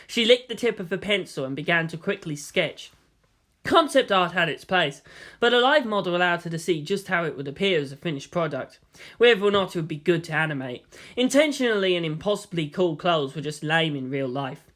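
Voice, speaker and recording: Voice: medium pitch, animated, brisk, fairly bright tone. A narrator reading a scripted story aloud as in an audiobook.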